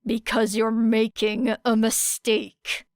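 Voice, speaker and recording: A woman voicing a fierce, blunt teenage girl with a sharp, growling delivery in an audiobook. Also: a little high, completely flat delivery, slow, clean tone, no room sound, clean studio sound. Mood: angry, sharp.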